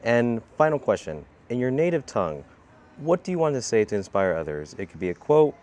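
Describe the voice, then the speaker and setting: A man's voice: medium pitch, very animated, a little fast, darkish tone. A man hosting a street interview, asking short questions and offering brief encouragement. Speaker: interviewer, host. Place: outdoors in a city.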